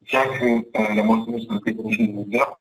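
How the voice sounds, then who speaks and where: A man's voice: fairly low pitch, little pitch movement, moderate pace, distant mic, rough recording. A man giving a hesitant, unscripted account of his career as a panel guest, often repeating words as he searches for phrasing. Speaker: insurance network manager on a discussion panel. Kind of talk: hesitant spoken testimony about career.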